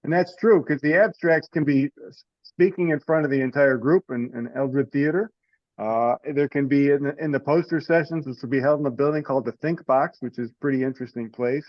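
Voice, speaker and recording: A man's voice: medium pitch, a little fast. A man chairing an informal online meeting over a lo-fi conference connection. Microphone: teleconference connection. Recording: dull, lo-fi conference call audio.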